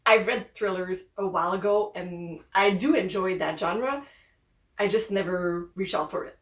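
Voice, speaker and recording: A woman's voice: fairly low pitch, moderate pace, reverberant. Chatty woman with a Québécois French accent. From Quebec, Canada. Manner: chatty, upbeat, rambling. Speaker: book vlogger. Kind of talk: casual chat.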